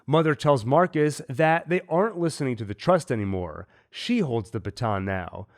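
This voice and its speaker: A man hosting a TV recap, talking with a cold in a measured, analytical way. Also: mid-range voice, lively intonation. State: has a cold. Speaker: host. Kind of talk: TV episode recap and theories.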